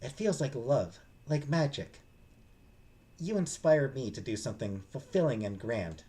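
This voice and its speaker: A man voicing an earnest young woman who dreams of writing, speaking gently and sincerely in a visual novel. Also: mid-range voice, expressive, unhurried, natural voice. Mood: tender, earnest.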